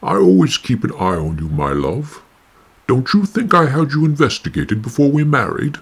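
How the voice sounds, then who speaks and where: A man's voice: medium pitch, very animated, moderate pace, vocal fry, breathy edge, heavily acted. A man playing a cold, smug, menacing husband in a noir radio play, condescending and polite. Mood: smug menace.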